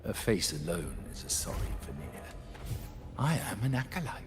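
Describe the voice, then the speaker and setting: A man's voice: sits low, sing-song, normal speed. An actor playing a sly, mocking male cult acolyte in a game, theatrical and taunting.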